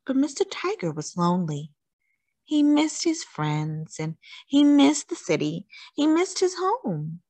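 Slow, very expressive woman storyteller. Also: high voice, dry recording. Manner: theatrical, drawn-out emphasis on words. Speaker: children's story reader. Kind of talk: expressive read-aloud storytelling for children.

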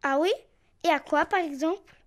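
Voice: sits high, normal speed, close to an everyday voice. A performer voicing a bossy, pouty little girl bear cub in a preschool cartoon, childlike and know-it-all. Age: child character. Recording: faint music behind the voice.

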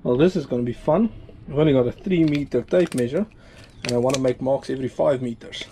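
A man's voice: medium pitch, fast, noisy background. A South African man, around his thirties, narrating a sailing vlog in an informal, laid-back way. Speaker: sailing vlogger.

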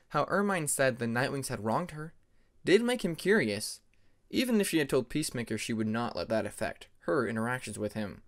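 A man's voice: medium pitch, a bit bright. A man narrating scripted fantasy fiction as an audiobook reader.

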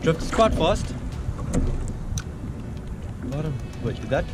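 A man's voice: fairly high pitch, sing-song, slow. A young man with a South African accent casually commentating on his fishing in an informal, slangy way, outdoors on a kayak at sea. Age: young adult. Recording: loud music over the voice. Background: loud music.

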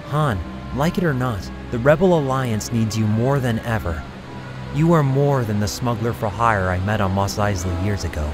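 An actor playing a solemn, calm young mystic warrior, earnest and measured, in a fan film drama. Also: male voice, fairly low pitch, music in the background, close to an everyday voice.

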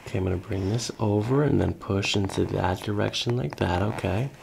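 A man working as a chiropractor, gently and reassuringly talking a patient through an ASMR exam step by step. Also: fairly low pitch, a little fast, vocal fry, slight background noise. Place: small carpeted office treatment room. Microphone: microphone on a boom stand near the table.

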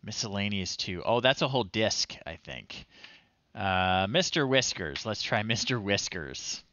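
A middle-aged man giving casual, low-key live commentary as he plays retro games, often muttering to himself. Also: big pitch swings, measured pace, bright, forceful tone, dry recording, muffled recording. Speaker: livestream host playing retro games. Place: small room at home, indoors.

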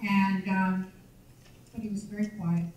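An older woman tells her memories at a community meeting, rambling and chatty. Her voice is mellow and dark. She is picked up from a distance in a large, echoey banquet hall. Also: mid-range voice, flat delivery, unhurried, slight background noise. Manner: chatty, rambling recollection with fillers. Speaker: audience member sharing memories. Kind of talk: recollecting at a public meeting.

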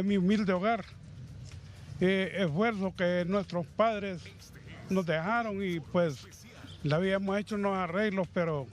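A middle-aged man with a Honduran accent interviewed outdoors about losing his home, speaking slowly and haltingly with religious phrasing, into a handheld mic. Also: sits high, a little hiss or hum. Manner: slow, halting, pious. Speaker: fire victim interviewed. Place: outdoors by a burned house. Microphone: handheld reporter mic.

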